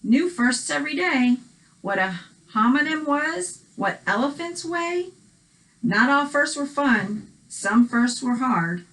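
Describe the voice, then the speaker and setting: A woman co-hosting a children's read-aloud, telling the story in an animated, reactive way and chatting casually. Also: high-pitched, takes it slowly, poor audio.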